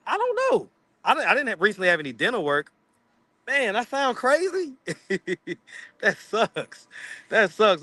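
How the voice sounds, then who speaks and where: A man's voice: a little high, bright, forceful tone, dry recording. A man with a slight lisp, hosting a casual livestream and chatting with viewers as he reads out their comments. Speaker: livestream host. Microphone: streaming mic.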